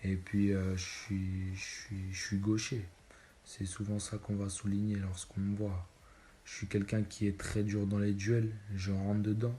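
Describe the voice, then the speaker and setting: A man's voice: lowish voice, completely flat delivery, unhurried, mellow, a little hiss or hum. A young man in his twenties from Belgium, answering interview questions casually and hesitantly, with many fillers and restarts. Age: 20s. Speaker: footballer interviewee.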